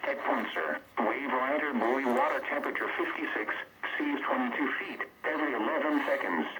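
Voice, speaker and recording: A computer-voiced man reading automated weather observations in a steady, list-like way. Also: medium pitch, brisk. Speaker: weather radio announcer. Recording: noisy radio reception.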